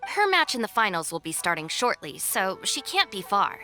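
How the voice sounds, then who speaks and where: Bright, unimpressed young deputy. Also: female voice, mid-range voice, very animated, moderate pace, faint music behind. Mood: helpful. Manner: dry, matter-of-fact, unimpressed. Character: sensible, exasperated young female gang deputy. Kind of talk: animated video game dub acting.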